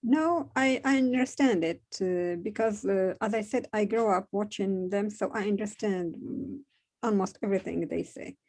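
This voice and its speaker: A Moroccan woman, a native Moroccan Arabic speaker, talks in English with a soft, warm tone and a mid-range pitch. She pauses often and fills gaps with "uh" as she searches for her words. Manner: hesitant, many pauses and "uh" fillers. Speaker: interviewee. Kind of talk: conversational interview.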